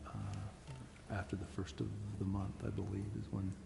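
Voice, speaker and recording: A man's voice: on the low side, little pitch movement, moderate pace, vocal fry, music in the background. An American man giving a plain status update as a city official at a meeting. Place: council chamber. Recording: echoey hall, faint noise.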